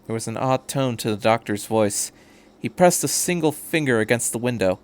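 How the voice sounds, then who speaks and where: A man's voice: mid-range voice, animated, normal speed, clean tone. A man reading fan fiction aloud as a podcast host, in scripted narration. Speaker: podcast host and story reader.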